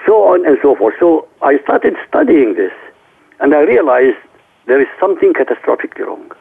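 A man's voice: fairly high pitch, very animated, normal speed, creaky, breathy edge. Emphatic Iraqi-born man on a phone line. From Iraq. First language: Arabic. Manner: emphatic, lecturing. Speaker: radio talk show guest.

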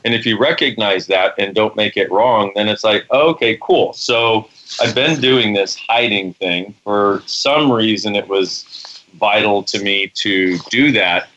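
A man's voice: fairly low pitch, a little slow, bright, forceful tone, muffled recording, a little hiss or hum. A man co-hosting a radio talk show, joking and laughing with his partner, then explaining ideas to a caller at length. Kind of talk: casual radio chat.

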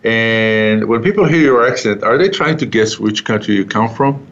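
A male talk show host with a non-native English accent, interviewing a guest in a chatty, halting way with many fillers and restarts. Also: fairly low pitch, animated, a bit bright. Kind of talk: interview hosting. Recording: dull lo-fi sound.

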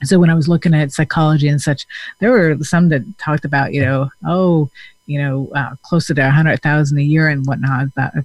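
A man, a therapist talking in a casual, reflective way with many pauses and fillers. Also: fairly high pitch, steady tone, dry recording. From Denmark. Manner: thoughtful, hesitant with many fillers.

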